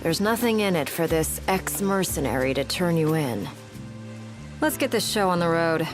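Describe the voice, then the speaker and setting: An actress playing a cool, cocky young ex-mercenary commander in a video game, brisk, sardonic and casually bossy. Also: female voice, lowish voice, sing-song. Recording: music behind. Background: clear game music.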